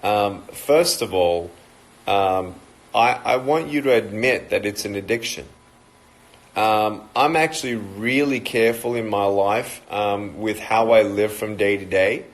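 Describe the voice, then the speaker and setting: A man's voice: fairly low pitch, measured pace. Chatty Australian man on a livestream. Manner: chatty, joking, greets viewers by name. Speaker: motivational speaker. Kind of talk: casual livestream talk to viewers.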